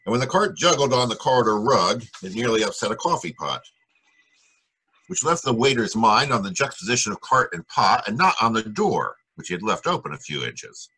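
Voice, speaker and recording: An actor playing a wry, cynical private detective in 1940s radio noir style, with a husky voice and a sardonic manner. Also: male voice, fairly low pitch, very animated, a little fast, bright, forceful tone, full character voice, low-quality recording. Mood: wry narration. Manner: sardonic, wisecracking. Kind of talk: 1940s radio noir acting, narration and dialogue.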